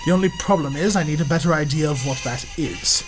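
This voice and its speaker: A man's voice: a little high, quick pace, over music, close to an everyday voice. An actor playing a pompous, boastful male explorer in the manner of a 1930s radio serial. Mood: condescending. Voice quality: pompous, theatrical.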